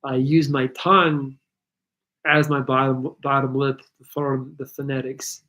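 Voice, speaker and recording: A man's voice: medium pitch, unhurried. Casual Dutch man chatting on livestream. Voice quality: slightly altered articulation of some sounds. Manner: relaxed, rambling, reads chat aloud. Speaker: livestream host answering viewer questions. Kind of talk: casual live chat.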